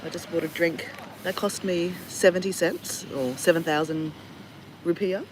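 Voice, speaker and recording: A man's voice: fairly high pitch, steady tone, normal speed, noisy background. A man with a sore throat and a nasal voice, chatting casually on a live-stream vlog from an outdoor beach, talking into a phone held at arm's length. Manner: chatty, rambling, greets viewers by name. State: sore throat, has flu. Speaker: travel vlogger.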